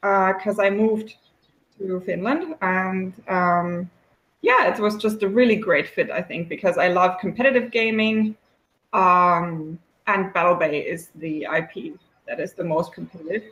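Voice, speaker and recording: A woman's voice: fairly low pitch, measured pace, a bit bright, roomy sound, lo-fi sound. A woman from Germany who works as a liaison between players and developers, answering interview questions in a chatty, giggly way with many fillers. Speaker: game developer community liaison.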